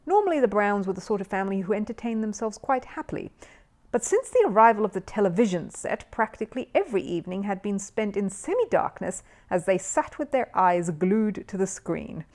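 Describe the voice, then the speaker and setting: A woman reading a children's story aloud as an expressive audiobook narrator. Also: mid-range voice, a little fast.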